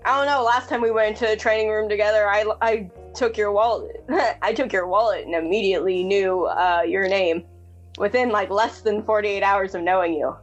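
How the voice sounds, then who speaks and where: A woman chats and banters as a player in a tabletop game. She is teasing and giggly, with a mid-pitched voice and faint music playing behind her. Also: moderate pace, dull audio. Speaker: player in a tabletop roleplaying podcast. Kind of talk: casual in-character banter.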